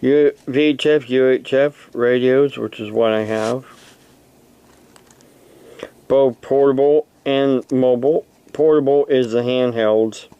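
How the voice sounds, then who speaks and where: Slow, halting man talking to camera. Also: medium pitch, fairly even intonation, dark tone, clear, resonant tone, highs cut off. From United States. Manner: halting, with long pauses between short phrases. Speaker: amateur radio operator and preacher making a video. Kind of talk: unscripted explanatory vlog.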